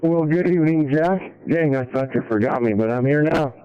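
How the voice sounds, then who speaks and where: A man's voice: on the high side, fairly quick, clean tone. A middle-aged or older American man chatting casually and easygoing over a ham radio transmission. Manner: easygoing, folksy. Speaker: amateur radio operator checking in. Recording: narrow-band radio hotspot transmission.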